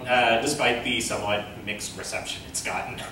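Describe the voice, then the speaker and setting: A man hosting an informal panel Q and A, speaking in a hesitant, halting way. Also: medium pitch, fairly even intonation, bright, forceful tone. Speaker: panel host, web series creator. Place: convention panel room. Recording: very echoey room, distant mic, noise.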